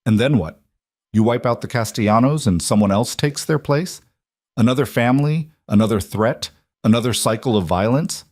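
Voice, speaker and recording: A man's voice: mid-range voice, moderate pace, a little mellow, no echo, lightly acted. A man plays a pregnant estranged wife in an audiobook. She is weary and wary, challenging one moment and pleading the next, always guarded. The recording is dry. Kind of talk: audiobook character dialogue.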